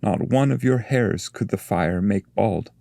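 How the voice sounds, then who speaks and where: A man's voice: on the low side, unhurried, creaky, warm tone, no room sound, studio quality, pitched above the performer's own voice. A man voicing a wise, fatherly poet guide in a dramatic reading, earnest and coaxing. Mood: earnest, reassuring.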